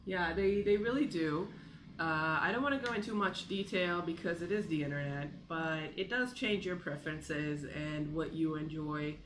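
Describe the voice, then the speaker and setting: Bright-voiced native Hebrew speaker in their thirties. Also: medium pitch, moderate pace, a little hiss or hum. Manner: candid, self-deprecating, jokey. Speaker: video blogger. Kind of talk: casual personal vlog to camera.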